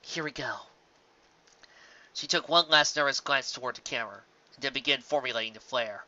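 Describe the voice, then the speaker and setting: Narrator reading fanfic out loud, sharp lively voice, dry dull lo-fi mic. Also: mid-range voice, fast. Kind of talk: reading fan fiction aloud.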